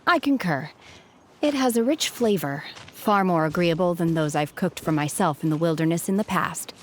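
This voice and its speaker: An actress playing a reserved, solemn young woman in a video game, speaking in a formal, measured, stiffly polite way. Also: fairly low pitch, lively intonation, slight background noise, lightly acted. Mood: calm, earnest.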